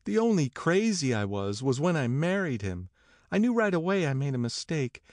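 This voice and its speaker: A man's voice: on the high side, big pitch swings, normal speed, darkish tone, dry recording, studio quality, pitched above the performer's own voice. A man voicing a brassy, disillusioned married woman in an audiobook, emphatic and disdainful. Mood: indignant.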